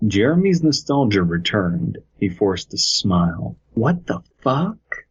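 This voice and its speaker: A man's voice: on the low side, very animated, takes it slowly, slightly rough, dull audio. A man co-hosting a podcast, reading a horror story aloud and mocking it with jokey, profane asides. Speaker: podcast co-host.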